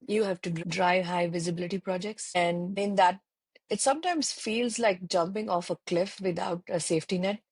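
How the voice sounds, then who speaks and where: Measured, husky-voiced woman guest. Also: lowish voice, a little mellow, no room sound. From India. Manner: thoughtful, measured, with many fillers. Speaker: interview guest, tech channel lead. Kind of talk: video call interview.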